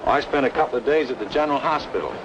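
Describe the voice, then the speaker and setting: Actor as a quick-talking guarded radio-drama man. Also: lively intonation, dull audio, lightly acted. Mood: guarded, offhand. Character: guarded, moody man brushing off concern. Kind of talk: old-time radio drama acting. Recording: noisy old radio recording, vehicle rumble.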